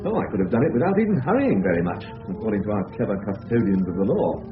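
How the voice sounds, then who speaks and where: A man's voice: on the low side, big pitch swings, racing. An actor with an English accent playing a bluff, sarcastic, aggrieved middle-aged father in a 1970s British radio drama. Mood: sarcastic, defensive. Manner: bluff, sarcastic, old-fashioned slang. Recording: phone-like old radio broadcast, music behind.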